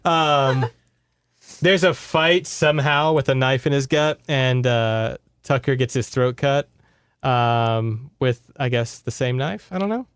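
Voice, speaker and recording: A man's voice: mid-range voice, measured pace, clean tone, dry recording, studio quality. A man hosting a podcast, retelling a book in casual, conversational chat and checking in with his listeners. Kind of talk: casual story recap chat.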